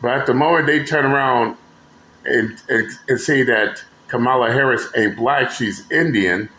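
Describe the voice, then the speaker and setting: A man's voice: mid-range voice, moderate pace, bright, forceful tone. A man hosting a commentary channel, talking bluntly and mockingly with profanity as he reacts to clips. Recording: echoey room with faint noise.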